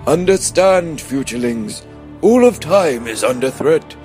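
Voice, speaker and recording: Slow, grandiose old wizard. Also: male voice, fairly high pitch, animated, a little mellow, soft background music. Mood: grandiose, urgent. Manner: theatrical, portentous, drawn-out delivery. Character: eccentric old wizard, grandiose, mysterious, a little lecherous. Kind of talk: comic fantasy radio play.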